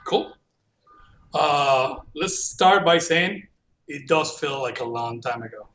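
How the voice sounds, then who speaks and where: A middle-aged man with a somewhat high-pitched voice and a Venezuelan Spanish accent. He is a native Spanish speaker telling stories in a casual, conversational way, as a guest on a podcast. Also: lively intonation, slow, fairly bright tone. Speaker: podcast guest, computer forensics professional.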